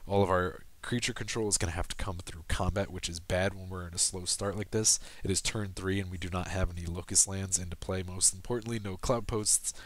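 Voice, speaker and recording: A man with a low voice and little rise or fall in his pitch talks through a recorded card game. He explains each play patiently, pausing with ums and correcting himself as he goes. His voice sounds a little worn, as if he is losing it. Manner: steady explanatory, frequent ums and self-corrections. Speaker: gameplay commentator. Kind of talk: casual game commentary over screen recording.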